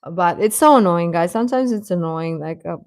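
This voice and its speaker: A woman chatting casually as host of a live stream, rambling and halting, with a sarcastic streak. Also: on the low side, lively intonation, darkish tone, dry recording, studio quality. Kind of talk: casual live-stream chat about pop fandom.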